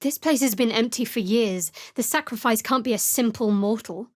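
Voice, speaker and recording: An actress voices a young woman cleric devoted to a dark goddess in a fantasy video game. Her voice sits fairly high, with a guarded, wry edge and measured delivery. The sound is very dry and free of room echo. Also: close to an everyday voice. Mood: measured, serious. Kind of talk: video game character voice lines.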